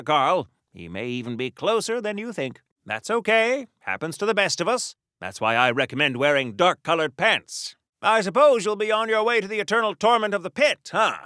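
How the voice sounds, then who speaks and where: An actor voicing a chipper, naive old-fashioned superhero for a video game, with theatrical, politely oblivious, mock-formal delivery in a 1940s radio style. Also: male voice, a little high, pushed and bright, no room sound, clean studio sound. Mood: wistful, musing.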